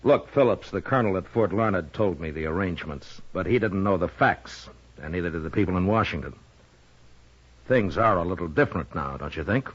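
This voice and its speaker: A man with an American accent playing a laconic, steady Old West marshal in a radio western, speaking in a dry, understated way. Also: low-pitched, brisk. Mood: firm. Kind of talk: 1950s radio western acting. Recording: muffled vintage radio recording.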